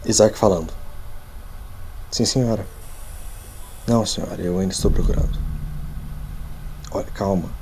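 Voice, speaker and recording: A man playing a weary, defensive agent with a husky voice, placating his boss in an audio drama. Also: deep voice, steady tone, moderate pace, long pauses, noisy background. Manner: casual, placating, conversational. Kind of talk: audio drama phone call acting.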